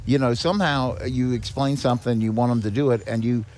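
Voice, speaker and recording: Man explaining things in halting, repeated starts. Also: mid-range voice, steady tone, darkish tone. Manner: explanatory, repeats and restarts words often. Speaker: guest, director of a seniors' charity. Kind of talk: conversational podcast interview.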